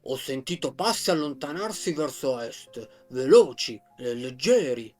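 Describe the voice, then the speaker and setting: A woman voicing a big, gruff, lonely male gorilla who speaks slowly and tersely, as if talking costs him effort. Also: lively intonation, darkish tone, soft background music, full character voice. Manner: slow, low, terse and grudging. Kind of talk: storybook character voice.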